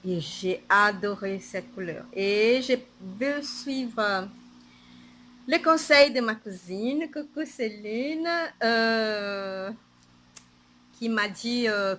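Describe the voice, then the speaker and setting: A woman's voice: mid-range voice, a bit bright, a little hiss or hum. A woman with a Portuguese accent, a native Portuguese speaker, chatting casually on her knitting podcast, hesitant, with many fillers and restarts.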